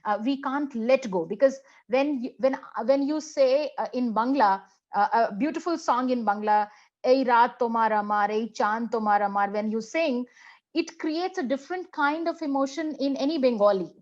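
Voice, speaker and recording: Halting, reflective Indian woman, higher pitch. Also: muffled recording. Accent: Indian English. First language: Hindi. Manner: reflective, halting, many fillers and restarts. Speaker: plenary speaker, English professor. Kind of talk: conference talk answering a question.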